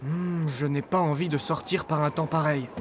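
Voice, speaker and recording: An actor playing a reluctant, work-shy talking farm vehicle with a whiny manner in a children's cartoon. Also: male voice, on the high side, steady tone, normal speed, slight background noise, natural voice. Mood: reluctant, feigning. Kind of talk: children's cartoon dub acting.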